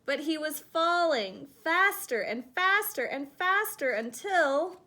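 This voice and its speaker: A woman reading a children's picture book aloud in an expressive storytelling style. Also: high voice, slow. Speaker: storybook reader.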